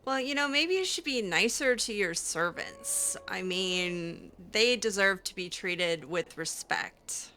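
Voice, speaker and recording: A woman's voice: mid-range voice, animated, slow, few pauses, pushed and bright, a little hiss or hum, full character voice. A woman voicing a cheeky, skeptical female mage in casual, teasing improvised roleplay of a fantasy game. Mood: casual, reproving.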